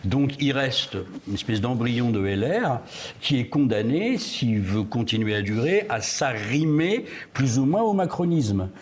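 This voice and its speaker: A man's voice: medium pitch, expressive, measured pace, a little mellow. A man speaking as a guest in a televised political debate, emphatic and sardonic as he argues. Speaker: panel guest.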